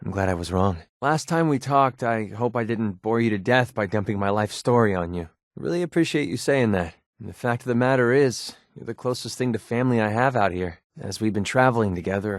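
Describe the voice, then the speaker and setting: An actor playing a wry, guarded young American mercenary companion in a video game, talking in a sardonic, conversational way. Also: male voice, lowish voice, a little fast, few pauses, no room sound, studio quality, natural voice. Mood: sincere, subdued. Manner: sardonic, conversational, hesitant asides.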